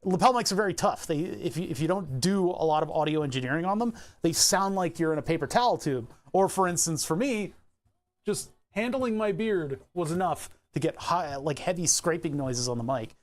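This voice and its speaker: A man's voice: on the high side, lively intonation, normal speed. A man chatting casually on a live stream about his setup. He rambles easily, chuckles often, and talks into a lapel mic in his workshop. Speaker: livestreamer.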